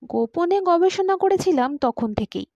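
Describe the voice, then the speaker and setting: High-voiced woman narrating an audiobook. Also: animated, normal speed, darkish tone, no room sound, lo-fi sound. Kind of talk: scripted first-person story narration.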